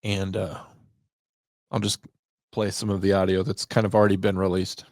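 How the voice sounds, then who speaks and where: A man who works as a 911 dispatcher hosting a podcast, giving reflective solo commentary in halting, deliberate phrases. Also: low voice, little pitch movement, darkish tone, no echo, studio quality.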